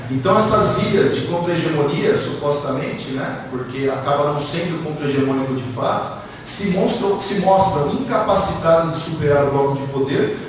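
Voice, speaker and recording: Brazilian man, slightly high-pitched voice, academic lecture, discursive, frequent tag questions, very echoey distant recording. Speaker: academic speaker. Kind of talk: academic lecture at a conference panel. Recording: very echoey hall, distant mic, faint noise.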